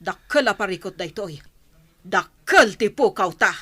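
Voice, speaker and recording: Feisty, sharp-tongued crooked village wife played by a woman. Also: medium pitch, animated, measured pace, dry recording. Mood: worried. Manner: feisty, complaining. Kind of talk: Ilocano radio drama acting.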